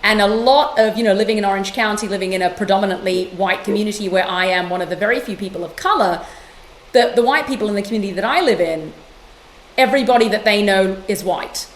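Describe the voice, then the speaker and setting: A middle-aged woman from London, speaking as an interview guest in a passionate, emphatic way with quick restarts. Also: mid-range voice, roomy sound, slight background noise, low-quality recording. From London, England. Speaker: guest author and yoga teacher. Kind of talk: conversational interview.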